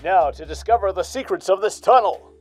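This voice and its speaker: A man doing a bold, earnest radio-serial hero who pronounces every line with square-jawed conviction. The voice sits a bit high for a man, with soft music far behind. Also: lively intonation, brisk. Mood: earnest heroic. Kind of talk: parody of old-time radio serial.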